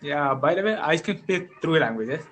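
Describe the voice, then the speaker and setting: A young man from India, speaking natively and practicing in a halting, hesitant way on a video call. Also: on the high side, expressive, a bit bright. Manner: hesitant, halting learner English with frequent laughs. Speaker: English learner, channel host. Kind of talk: casual conversation practice. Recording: faint background noise.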